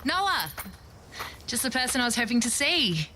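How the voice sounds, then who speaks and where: An actress playing an earnest, pushy young podcaster, insistent and persuasive, in audio drama. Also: female voice, on the high side, very animated, moderate pace, bright, forceful tone, a little hiss or hum. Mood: apologetic, eager. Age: young adult. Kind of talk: naturalistic audio drama acting.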